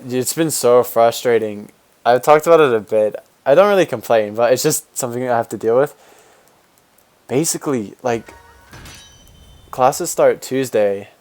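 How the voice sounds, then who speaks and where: A man in his twenties with a deep voice, a game streamer talking casually with viewers while answering chat and rambling with fillers and restarts. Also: unhurried, pauses often, a little mellow. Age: 20s.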